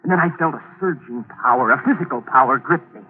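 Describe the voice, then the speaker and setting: A man's voice: medium pitch, fast talker, phone-quality sound, natural voice. An actor playing an intense, unsettled man confessing a killing, narrating in 1940s radio drama style. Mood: feverish. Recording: scratchy, phone-thin 1940s radio broadcast.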